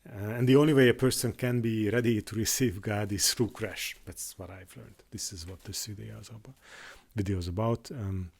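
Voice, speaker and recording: A man whose first language is Hungarian, talking in an unscripted monologue on his channel, halting with many restarts and fillers. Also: fairly low pitch, steady tone, creaky, a little mellow, husky. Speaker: YouTuber.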